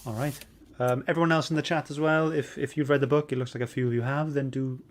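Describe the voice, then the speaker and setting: A man's voice: medium pitch, fairly even intonation, fast talker. A man hosting a casual, chatty book club discussion, speaking informally and moving things along. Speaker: podcast host. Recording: background noise behind the voice.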